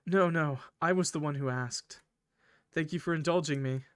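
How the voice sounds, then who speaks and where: A man's voice: fairly high pitch, moderate pace, clear, resonant tone, no echo, close to an everyday voice. A man voicing a polite, solicitous, subtly creepy young male train conductor in a visual novel. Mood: courteous.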